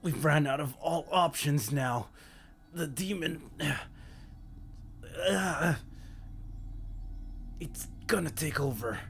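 An anguished hero struggles against the demon inside him as a man acts it out in a dramatic monologue. His speech is very slow, halting and pleading, with a gravelly, tense strain. Soft music runs behind the voice. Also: a little high. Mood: pained pleading. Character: anguished young hero fighting demonic possession, self-sacrificing. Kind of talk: dramatic voice acting practice monologue.

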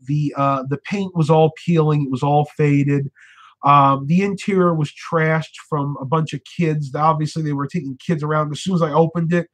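Casting: young adult American male, slightly high voice, informal livestream host talking casually in a small bedroom. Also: a bit bright. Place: small furnished bedroom, indoors.

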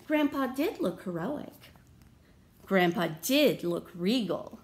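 A woman in her thirties reading a picture book aloud to children, with deliberate theatrical emphasis and questions to her listeners. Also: medium pitch, lively intonation, slight background noise. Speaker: read-aloud storyteller. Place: small room at home.

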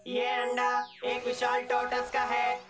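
A woman voicing a small helpful robot assistant in a children's cartoon, with formal, measured, factual announcing. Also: a little high, completely flat delivery, loud music behind the voice, close to an everyday voice. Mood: matter-of-fact. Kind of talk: animated children's cartoon dub.

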